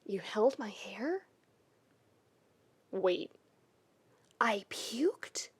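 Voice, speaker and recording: A woman voicing a flustered, defensive young man in a podfic, speaking in a hushed and hesitant way. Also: medium pitch, animated, drawn-out words, breathy edge, heavily acted. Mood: confused, alarmed.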